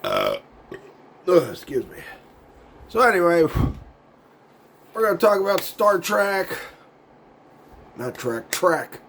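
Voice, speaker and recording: A man hosting his own video show, with a voice gone raspy and hoarse from a sinus cold, chatting in a loose, meandering way, losing his words and chuckling now and then. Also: high voice, wildly swooping pitch, slow, pauses often, fairly bright tone, slight background noise. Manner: laid-back and meandering, loses his words, chuckles often. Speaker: YouTube show host. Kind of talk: casual rambling vlog chat.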